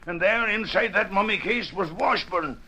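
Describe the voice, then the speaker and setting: An actor playing an excitable, plain-spoken police sergeant in an old time radio drama, telling things in a folksy way. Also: male voice, sits high, moderate pace, exaggerated voice. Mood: excited, urgent.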